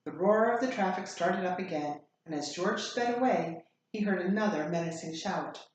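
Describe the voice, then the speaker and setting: A woman with a lowish voice reads a story aloud like a teacher, expressive and deliberate. Her voice rings in a very echoey room with faint background noise.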